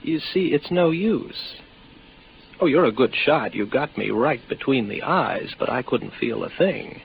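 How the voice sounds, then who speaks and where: An actor playing a weary, isolated space officer in a 1950s radio drama, with a wry, deliberate delivery. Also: male voice, mid-range voice, lively intonation, creaky. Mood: weary, commanding. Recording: dull, noisy 1950s radio recording.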